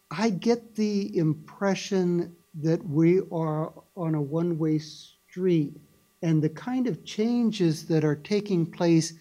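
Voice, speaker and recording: A man's voice: fairly high pitch, warm tone. A man moderating a policy panel, summing up the talks in a hesitant way with frequent "uh".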